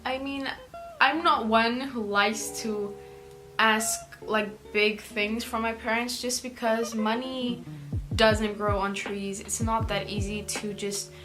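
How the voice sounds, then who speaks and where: Chatty South African teen girl. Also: fairly high pitch. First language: Afrikaans. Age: teens. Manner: chatty, rambling, lots of "like". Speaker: YouTuber. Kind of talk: casual Q&A vlog.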